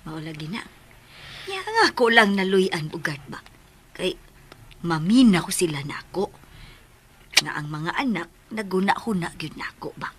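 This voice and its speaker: A woman's voice: fairly low pitch, very animated, unhurried, pauses often, fairly bright tone. A Visayan Filipino woman playing a bossy, motherly household mistress in a comedic radio drama, scolding and bantering with affection. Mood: confiding. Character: bossy, teasing, motherly household mistress who bickers fondly. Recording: noisy radio drama recording.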